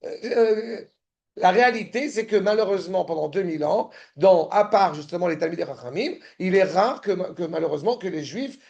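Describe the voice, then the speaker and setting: A man teaching a Torah study class, halting and repeating words, often checking that listeners follow, sometimes insistent. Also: sits high, vocal fry, darkish tone, dull audio. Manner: turns insistent and indignant.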